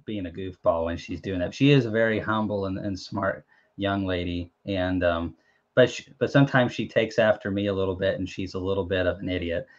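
A man's voice: low voice, fairly quick. A young man hosting a casual live chat over a video call from a small room at home, rambling and joking with lots of fillers and restarts. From Tennessee, USA. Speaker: host. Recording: dull lo-fi stream audio.

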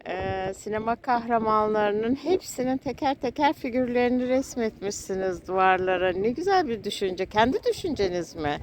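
A woman's voice: on the high side, expressive, normal speed, hardly any pauses, slight background noise. A woman doing a casual on-location interview outdoors by a harbour, speaking in a complimentary, appreciative way. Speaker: vlogger interviewing mural painters.

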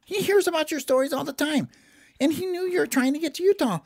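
A woman's voice: sits high, fast talker, darkish tone, pitched above the performer's own voice. A woman voicing a chatty, kindly little elf in a children's Christmas story. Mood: eager. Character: friendly, reassuring little pointy-eared Christmas elf.

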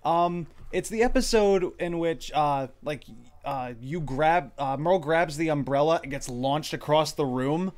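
A man with a fairly high voice hosts a relaxed group Q&A. He speaks haltingly and with a giggly edge, like someone chatting among friends. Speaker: host and project lead of fan dub. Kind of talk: casual group Q&A chat.

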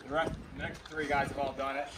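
A man's voice: medium pitch, flat delivery, quick pace. A man narrating his climb up a desert mountain trail in an upbeat, chatty way, filming as he goes. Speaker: hiking vlogger. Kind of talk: casual hiking commentary. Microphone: handheld camera or phone. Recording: outdoor wind and ambient noise.

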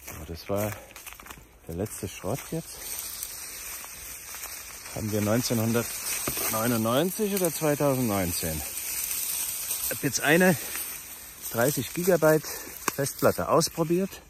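A man's voice: medium pitch, sing-song, slow pace, long pauses, noisy background. A man narrating a casual travel vlog in a dry, grumbling way. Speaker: travel vlogger.

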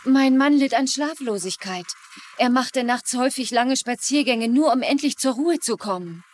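An actress playing a prim, insistent widow who speaks earnestly and defensively in a dubbed crime drama. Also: female voice, high-pitched, lively intonation, normal speed, slight background noise.

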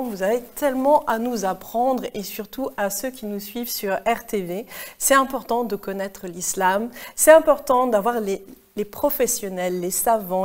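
A woman's voice: on the high side, a little mellow. A middle-aged woman hosting a television interview in a studio. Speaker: TV host. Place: TV studio, indoors.

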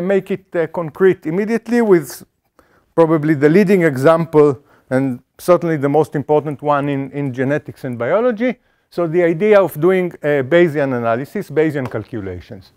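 A middle-aged man explains a statistics topic to a lecture hall, his voice sitting somewhat high for a man. He talks through ideas step by step, stumbling into fillers and restarts. He is picked up on a small mic worn on the body. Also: a little mellow. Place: lecture auditorium.

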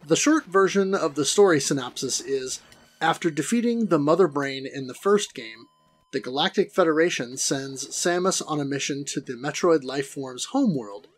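A man's voice: a little high, lively intonation, normal speed. A middle-aged man hosting a video game review, narrating from a script in a wordy, theatrically formal way.

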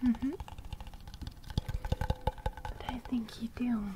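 A young adult woman whispering soothingly for an ASMR roleplay close to the microphone, with a breathy crackle that edges into soft speech. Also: a little high, flat delivery. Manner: soothing, slow, reassuring. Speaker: ASMR host. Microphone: large studio microphone, very close.

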